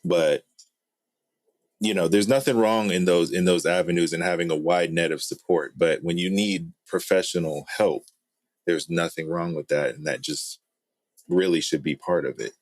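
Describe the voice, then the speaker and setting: Man, very low pitch, dry sound, halting, conversational, casual panel participant. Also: no room sound. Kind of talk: casual discussion.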